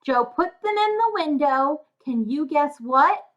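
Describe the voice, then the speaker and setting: A woman reads a children's tale in a high, bright voice. She takes it slowly and with a sing-song lilt, speaking directly to young listeners like a tutor. The recording is a little muffled. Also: animated. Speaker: children's story reader and tutor. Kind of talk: read-aloud of a rhyming children's fairy tale.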